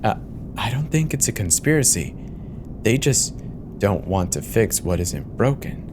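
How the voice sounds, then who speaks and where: A man's voice: on the low side, very animated, a little slow, noisy background. A man playing an uneasy, cynical airline co-pilot in a horror audio drama, speaking in a tense, resigned way. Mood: grim, resigned. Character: uneasy, cynical male co-pilot in a failing plane.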